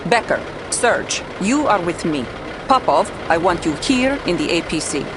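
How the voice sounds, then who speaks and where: Low-voiced, businesslike female military major. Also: very animated, unhurried, noisy background. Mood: brisk, commanding. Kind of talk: video game cutscene acting.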